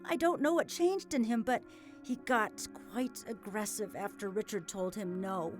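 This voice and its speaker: A woman playing a grieving, hesitant farm widow in an audio drama, speaking in a halting, emotional way. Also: medium pitch, music in the background, lightly acted. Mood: hesitant, troubled. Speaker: voice actor.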